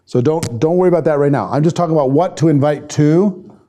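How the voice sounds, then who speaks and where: A man's voice: a little high, fast, dark tone. A man working as a sales trainer, teaching a live online class in an informal, conversational way and thinking aloud as he responds to viewers. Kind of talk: informal live lesson, reading and answering viewer comments.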